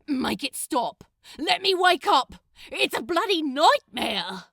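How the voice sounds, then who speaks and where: A woman voicing an anguished, hot-tempered male supernatural being in an audiobook, loud and desperate. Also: high voice, sing-song, slow pace, vocal fry, no echo, studio quality, pitched above the performer's own voice, full character voice. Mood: desperate anger.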